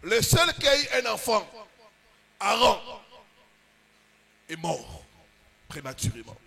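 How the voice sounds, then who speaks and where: Pastor guy preaching, really high bright voice, gravelly fry, punchy bursts then slow drops. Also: huge pitch swings. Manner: preaching with rising, emphatic bursts and drawn-out pauses. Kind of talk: sermon.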